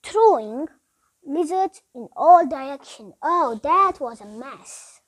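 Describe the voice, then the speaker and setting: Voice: high-pitched, expressive, takes it slowly. A child of about eight reading a storybook aloud as a school recitation, with emphatic delivery and small slips.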